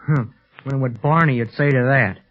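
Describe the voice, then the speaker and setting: A male actor playing an excitable, inquisitive fifteen-year-old boy adventurer in a 1930s radio serial. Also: medium pitch, fairly quick, over loud music, lightly acted. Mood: curious. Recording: muffled 1930s radio recording.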